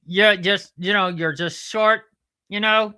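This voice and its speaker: A man's voice: high voice, steady tone, takes it slowly, sharp tone, clear, resonant tone, voice pushed up, heavily acted. A man voicing a touchy, whiny platypus puppet in comic banter, exasperated and emphatic, repeating himself. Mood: warning.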